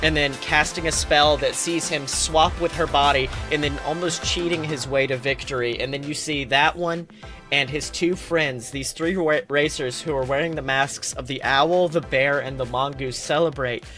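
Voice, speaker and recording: Bright, forceful tabletop game master. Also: mid-range voice, steady tone, normal speed, soft background music. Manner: informal, swears casually. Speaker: game master and podcast host. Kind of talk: improvised narration of a tabletop game.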